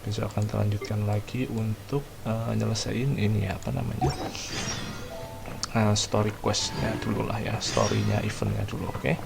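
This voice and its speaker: Gravelly Indonesian man over game music. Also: lowish voice, level tone, a little fast. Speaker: gaming streamer. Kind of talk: casual game playthrough commentary. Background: video game music.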